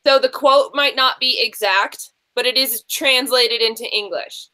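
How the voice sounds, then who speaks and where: A woman's voice: a little high, a little slow, bright, forceful tone, low-quality recording. A woman hosting an online book quiz, talking off the cuff with contestants over a group video call.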